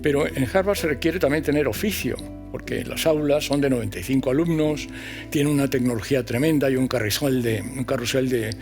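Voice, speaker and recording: Gravelly older man telling anecdotes. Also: medium pitch, darkish tone, faint music behind. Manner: deliberate, hesitant with many fillers. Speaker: panel guest, former professor. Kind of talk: anecdotal storytelling at a live event. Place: event hall before an audience.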